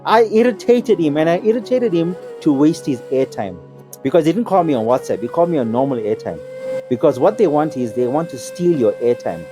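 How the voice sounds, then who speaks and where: A man tells an anecdote in a casual, conversational way. He speaks as a guest on a talk, with clear music running behind him. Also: on the high side, lively intonation, brisk. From Chatsworth, South Africa. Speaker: guest telling his experience.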